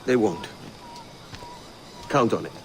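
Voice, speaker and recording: An actor playing a cocky, self-interested young pirate captain in a video game, blunt and wry, with a Welsh accent. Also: male voice, lowish voice, lively intonation, slow, vocal fry, fairly bright tone, a little hiss or hum, exaggerated voice. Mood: determined. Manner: wry, blunt, casual swagger. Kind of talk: video game cutscene acting.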